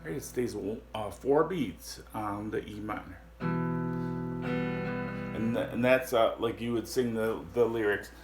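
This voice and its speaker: A man with a deep voice giving a casual guitar lesson, explaining slowly with frequent pauses and fillers. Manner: slow, halting explanations with many fillers. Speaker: guitar teacher. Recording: echoey room with guitar playing.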